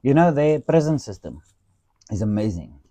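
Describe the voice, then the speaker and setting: A podcast host from South Africa with an Afrikaans accent, telling stories in a casual chat, animated and swearing, often saying "dude". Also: male voice, medium pitch, moderate pace, no room sound. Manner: animated, swearing storyteller who says "dude" a lot.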